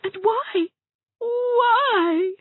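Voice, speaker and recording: A woman's voice: very high-pitched, extremely slow, no room sound, full character voice. Woman doing a weepy, melodramatic young woman. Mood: accusing. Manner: tearful, theatrical, sobbing delivery. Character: melodramatic young woman, heartbroken and self-pitying. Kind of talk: dramatized audiobook reading. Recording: tinny phone-like dry sound.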